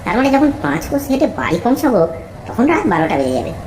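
A woman narrating a scripted first-person horror story in a dramatic, deliberate storytelling manner. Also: on the high side, sing-song, roomy sound, noisy background.

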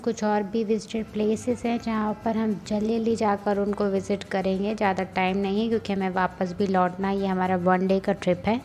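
A woman's voice: medium pitch, flat delivery, brisk, few pauses, darkish tone, faint music behind. A young woman vlogger chatting casually as she guides viewers on a rainy hill trip, recorded on a phone camera. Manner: chatty, guiding viewers, mixes in English words. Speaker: travel vlogger. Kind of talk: casual travel vlog narration.

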